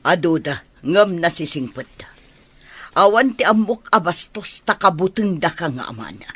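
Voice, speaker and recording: A woman's voice: fairly low pitch, expressive, moderate pace, fairly bright tone, slightly rough. A woman voicing a worried middle-aged mother with an Ilocano accent, pleading for her daughter in a radio drama. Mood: reproachful, worried. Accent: Filipino, Ilocano. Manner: pleading, reproachful.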